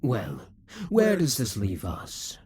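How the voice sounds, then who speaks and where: A man's voice: mid-range voice, wildly swooping pitch, takes it slowly, darkish tone, slightly rough, heavily acted. A man voicing a possessive, scheming male demon king in a theatrical monologue for an audio roleplay. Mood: exasperated. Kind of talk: audio roleplay addressing the listener.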